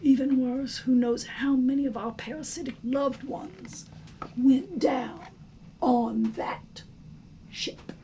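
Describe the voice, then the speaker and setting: A woman with a high voice narrates a storybook theatrically. She takes it slowly, with emphatic, expressive phrasing, on a recording with faint background noise. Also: poor audio. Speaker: storybook reader. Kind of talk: expressive read-aloud narration.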